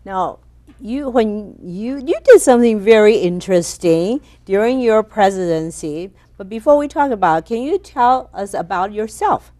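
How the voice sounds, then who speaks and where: A woman hosting an interview, asking friendly questions with a few halting restarts. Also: medium pitch, animated, warm tone, no room sound. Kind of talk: casual interview.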